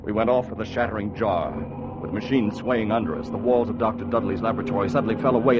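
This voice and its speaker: A male actor as an urgent, theatrical narrator of a radio adventure. His voice sits fairly high, on a muffled vintage recording with clear noise behind it. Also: close to an everyday voice. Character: dramatic male storyteller of an adventure. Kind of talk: 1950s radio drama narration.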